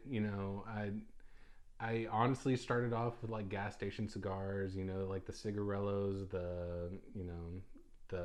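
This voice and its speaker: A young man with a low voice talks loosely on his own podcast. He rambles and restarts often, filling pauses with ums and uhs. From United States. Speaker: podcast host. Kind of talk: casual solo podcast talk.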